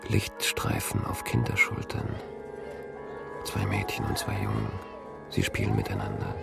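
Radio play: a brooding man plagued by memories, very low and creaky, introspective, fragmentary delivery. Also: very animated, measured pace, exaggerated voice. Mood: haunted, absorbed. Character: haunted man troubled by intrusive, unexplained memories. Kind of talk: radio drama interior monologue. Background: music.

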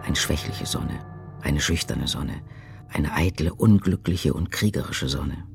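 A man reciting poetry in a measured, incantatory, deliberate way for a radio drama. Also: rumbling low, vocal fry. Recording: music behind the voice. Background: loud music.

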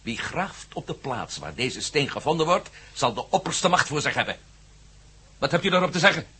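An actor playing a determined, probing amateur investigator in a radio play, with an assertive manner. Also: male voice, a little high, sing-song, normal speed, pushed and bright, breathy edge, heavily acted. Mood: pressing. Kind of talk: radio drama acting. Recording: faintly noisy radio play recording.

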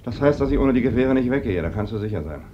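Radio play: blunt, argumentative fighting man played by an actor, rapid delivery, dull and slightly noisy vintage sound. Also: medium pitch, animated, natural voice. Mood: insistent, grim. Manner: insistent, argumentative. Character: determined, blunt male fighter pressing his relative. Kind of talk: classic German radio drama acting. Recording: dull, faintly noisy old radio recording.

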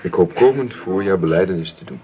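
An actor playing an earnest, reflective middle-aged aristocratic father who speaks in a measured, confiding, halting way in a Dutch radio drama. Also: low-pitched, lively intonation, mellow.

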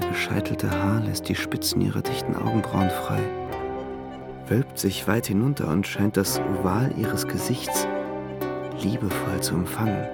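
Radio drama: dreamy young romantic, low-key narrator, very gravelly fry, music underneath. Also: male voice. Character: dreamy young man recalling his journey, quietly infatuated. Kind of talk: literary radio play, present-tense first-person narration.

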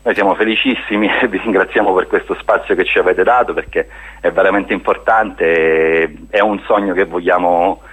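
A man's voice: sits low, normal speed, fairly bright tone, breathy edge, rough recording. A man speaking as a guest in a radio interview, explaining a project in an earnest way. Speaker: guest, spokesman of a hiking association.

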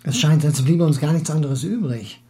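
A man playing a talkative, excitable Russian émigré husband who philosophizes eagerly and halts for words in acted audiobook dialogue. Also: on the high side, lightly acted. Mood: musing, talkative. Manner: garrulous, halting when searching for words.

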